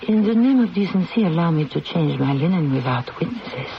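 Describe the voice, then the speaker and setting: A woman acting a proud, condemned French queen in a radio drama, with a regal, theatrical, deliberate delivery. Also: lowish voice, animated. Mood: proud, strained. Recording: muffled old radio recording, faint noise.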